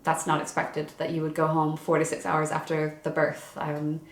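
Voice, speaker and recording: Young woman vlogger, chatty and casual with lots of fillers, low even voice, echoey room. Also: moderate pace. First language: English. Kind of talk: casual chat.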